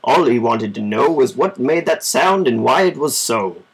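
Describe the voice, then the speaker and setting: Male storybook reader, informal, measured, creaky, husky. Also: mid-range voice, animated. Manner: informal, measured amateur reading. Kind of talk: reading a children's story aloud.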